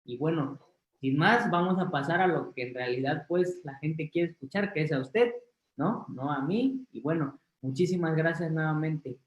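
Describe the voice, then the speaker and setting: A man's voice: fairly high pitch, normal speed, roomy sound, low-quality recording. A man moderating an online conference, introducing the speaker politely with many filler words. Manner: courteous, filler-heavy. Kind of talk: formal introduction.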